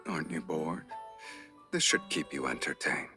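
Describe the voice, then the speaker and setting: An actor playing a smug, protective game love interest who teases slowly and calmly. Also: male voice, rumbling low, gravelly, faint music behind, full character voice. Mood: teasing. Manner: teasing and unhurried.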